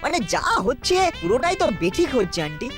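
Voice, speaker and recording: A woman's voice: on the high side, sing-song, fast talker, music in the background. A woman voicing a spiteful, mischievous boy ringleader in a children's cartoon, cheeky and indignant. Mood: sly goading.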